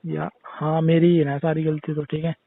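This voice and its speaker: A young man with a slightly high voice talks casually over a thin, phone-like line. He sounds like a boyfriend in a private chat, insistently questioning and adding tag questions. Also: monotone, normal speed, creaky. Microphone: phone call. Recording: narrow-band tinny phone call.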